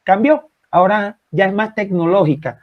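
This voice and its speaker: A man's voice: high-pitched, normal speed, muffled recording. A man from Colombia with a Caribbean accent, answering in an interview as a writer, emphatic and repeating phrases for effect.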